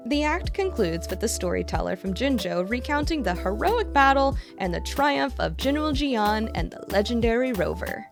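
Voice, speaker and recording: A woman's voice: on the high side, big pitch swings, normal speed, hardly any pauses. A woman reading a scripted recap of a video game's story in a dramatic storytelling manner, as the narrator of a lore video. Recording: voice-over with clear background music. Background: music.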